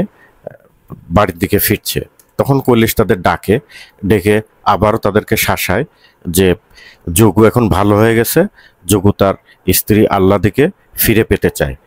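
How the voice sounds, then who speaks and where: A man teaching a literature lesson, recapping in a halting, explanatory way. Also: lowish voice, dry recording.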